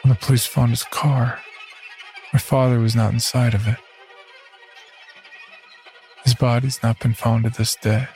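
A man plays a broken, haunted young man telling his horror story as a last warning. His voice is even and gravelly, somber and full of dread. Clear music plays underneath. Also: mid-range voice, a little slow, pauses often, lightly acted. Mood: bleak, resigned. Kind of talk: first-person horror story narration.

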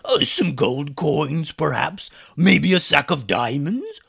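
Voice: mid-range voice, lively intonation, slow, creaky, fairly bright tone, slightly rough, dry recording, clean studio sound, voice pushed up, heavily acted. A storyteller voicing a jeering, villainous pirate captain in a children's story. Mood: mocking. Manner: mocking, jeering. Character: gloating, hearty male pirate captain, bearded and villainous.

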